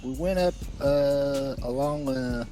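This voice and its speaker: A man playing a shrewd, discreet business associate in online roleplay, speaking in a halting, understated way. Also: medium pitch, dark tone, soft background music, lightly acted. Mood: sly, businesslike. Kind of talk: improvised in-character online roleplay dialogue.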